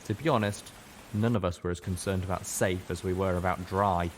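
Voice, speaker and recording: A man's voice: low-pitched, steady tone, brisk, slight background noise. A man reading a scripted first-person story as a dramatic narrator. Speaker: audiobook reader.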